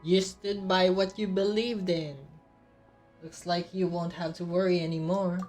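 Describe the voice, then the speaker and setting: A woman with a fairly low voice plays a story game on stream. She comments and reads along casually, with game music clearly audible under her. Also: steady tone, measured pace, a little mellow.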